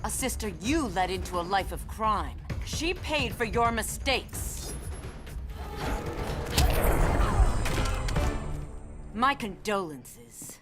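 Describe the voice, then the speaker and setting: An actress playing a stern, regal warrior princess in a video game, speaking in a formal, haughty way. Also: female voice, mid-range voice, lively intonation, unhurried, over music, natural voice. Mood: scornful. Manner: formal, haughty, declamatory phrasing. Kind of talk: dramatic video game acting.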